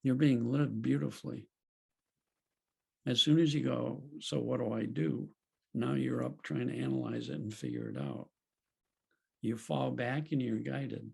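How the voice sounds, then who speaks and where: A man leading a group video call as a spiritual teacher, speaking in a gentle, unhurried way and laughing softly now and then. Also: medium pitch, expressive, gravelly, slightly rough. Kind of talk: reflective teaching talk.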